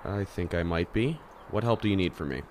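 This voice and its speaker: A man acting a level-headed, popular teenage schoolboy and reluctant hero in an amateur audio drama, calm, dry and reasoning. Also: sits low, fairly quick, a little hiss or hum.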